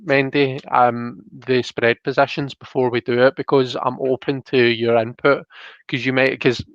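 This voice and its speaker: A man's voice: medium pitch, flat delivery, moderate pace. A man hosting a casual live-stream, chatting in a tentative, apologetic way. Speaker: host of a live tarot reading show. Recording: muffled, roomy home live-stream sound.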